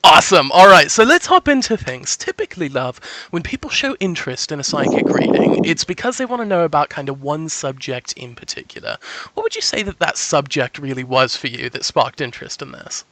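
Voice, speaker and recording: A man's voice: on the high side, big pitch swings, brisk, bright, forceful tone, no room sound, poor audio. A man working as a psychic, talking in an effusive, reassuring, salesman-like way as he gives a conversational reading. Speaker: psychic giving a sample reading. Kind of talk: conversational phone reading for an audience.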